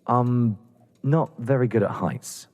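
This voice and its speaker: A man's voice: mid-range voice, slow pace, darkish tone, lightly acted. An actor playing a nervous, grumbling young man who is a reluctant amateur burglar in an audio drama. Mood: uneasy. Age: young adult. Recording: long hall-like reverb, noisy.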